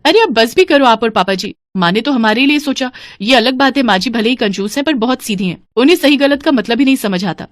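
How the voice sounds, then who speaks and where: A woman acts a young daughter-in-law in a cartoon family tale. Her voice is lifted high, respectful and pleading, gently protesting on behalf of the household, with a dry, close sound. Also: lively intonation, fairly quick, few pauses, no echo, close to an everyday voice. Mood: protective. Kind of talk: Hindi cartoon moral-story acting.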